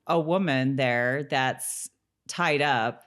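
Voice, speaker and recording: A woman's voice: rumbling low, slow, fairly bright tone. A woman co-hosting a podcast, chatting casually in a talkative way that breaks easily into laughter. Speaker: podcast co-host. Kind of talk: casual chat recapping a TV episode, some scripted summary read aloud.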